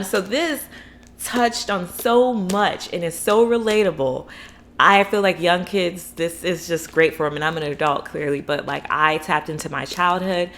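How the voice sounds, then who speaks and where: A woman's voice: sits low, fairly bright tone, slight background noise. A young adult woman from Florida reviewing books in a casual, rambling, enthusiastic vlog, full of fillers and asides. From Florida, USA. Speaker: book vlogger.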